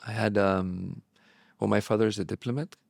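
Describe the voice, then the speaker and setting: A low, gravelly male voice, close and dry with no room around it. He speaks English as a second language, with Spanish his first, and talks in a reflective, halting way, circling back and restarting his thoughts. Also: steady tone, no echo. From born in Morocco, raised abroad. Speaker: guest, relapse prevention therapist. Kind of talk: candid interview talk.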